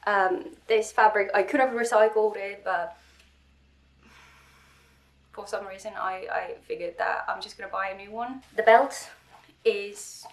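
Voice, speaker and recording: A woman's voice: mid-range voice, fairly even intonation. A young woman with a cold talks through her homemade costume in a loose, rambling vlog. She keeps restarting her sentences and running herself down, sniffling as she goes. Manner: rambling, self-deprecating, halting restarts. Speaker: cosplay vlogger. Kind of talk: casual vlog talk.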